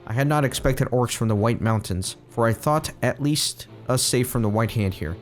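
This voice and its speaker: A man reading the lines of a worried leader of a crowded refugee village, in a solemn, plain reading voice at middle pitch. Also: moderate pace, faint music behind, close to an everyday voice. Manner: solemn, plainly read quest text.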